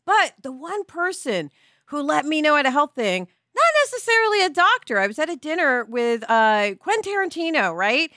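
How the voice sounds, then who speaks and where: A woman with a high, bright, forward voice hosts a casual podcast conversation. She is animated and rambles freely, often acting out what people said. The sound is dry and close. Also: moderate pace, dry recording. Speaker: podcast host.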